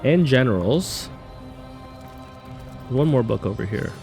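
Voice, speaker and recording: A man talks his way casually through a video game, speculating as he goes. His pitch moves around a lot, and faint music plays behind him. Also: expressive, slow, vocal fry, a little mellow. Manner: musing, speculative. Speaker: gamer doing a playthrough. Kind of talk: casual game commentary, thinking aloud.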